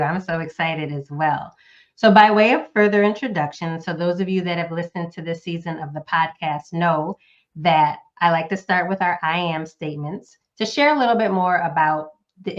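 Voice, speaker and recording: Low-voiced woman host, muffled sound. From Northeast United States. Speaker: podcast host. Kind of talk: scripted, friendly interview hosting. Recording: muffled lo-fi audio.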